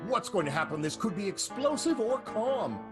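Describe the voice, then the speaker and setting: A man with a slightly high voice hosts a radio soap. He narrates wryly between scenes, with plucked guitar music clearly audible behind him. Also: very animated, normal speed. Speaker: host and narrator.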